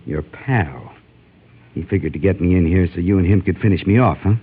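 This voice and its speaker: Menacing, gravel-edged Western gunman, tinny radio. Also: low-pitched, a little fast. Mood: vengeful, taunting. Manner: drawling, folksy, threatening. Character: menacing, vengeful Western gunman, grieving man. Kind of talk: old-time radio western acting. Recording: muffled vintage radio recording, phone-like.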